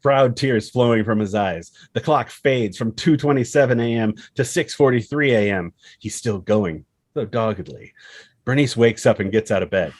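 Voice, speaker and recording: A man reading screenplay stage directions as the narrator of a scripted table read. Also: medium pitch. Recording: loud music under the voice. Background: loud instrumental music.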